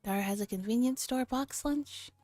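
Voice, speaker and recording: Woman, high mellow voice, soft-spoken, ASMR visual novel reading, close mic, music behind. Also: normal speed, no echo. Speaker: streamer. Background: background music.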